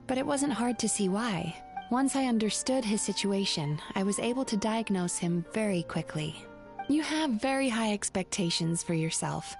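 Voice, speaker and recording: Composed female game therapist, acted by a woman, frank, measured and articulate, with music behind. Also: medium pitch, darkish tone, lightly acted. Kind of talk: video game dialogue acting.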